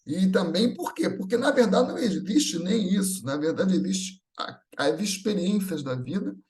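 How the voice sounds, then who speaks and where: High-pitched Brazilian man giving a talk. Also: animated, moderate pace, darkish tone. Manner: informal, often checking in with rhetorical questions. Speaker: speaker at a Spiritist gathering. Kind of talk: religious talk, conversational and explanatory.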